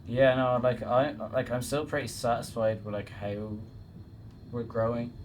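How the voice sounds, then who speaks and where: A young Irish man chats loosely about music, stringing thoughts together with filler words. His voice sits at an ordinary pitch, and a clear layer of room noise runs under it. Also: steady tone, measured pace. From Ireland. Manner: rambling, lots of filler "like". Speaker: band member. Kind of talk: casual interview. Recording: noisy room.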